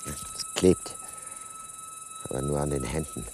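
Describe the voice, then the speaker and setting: Shifty tense husband in a radio thriller, muttery clipped, voice jumps around, noisy old recording. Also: male voice, low-pitched, huge pitch swings, takes it slowly, darkish tone, heavily acted. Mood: cold, calculating. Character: furtive, tense husband, polite in public, coolly calculating murderer. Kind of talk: German radio crime play, inner monologue and dialogue. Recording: noisy 1980s radio drama production.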